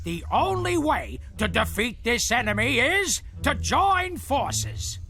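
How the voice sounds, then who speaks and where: A high-voiced earnest teen hero. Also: male voice, sing-song, slow pace, bright, forceful tone, heavily acted. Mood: serious. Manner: serious, earnest. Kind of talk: animated game cutscene acting. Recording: game music behind the voice.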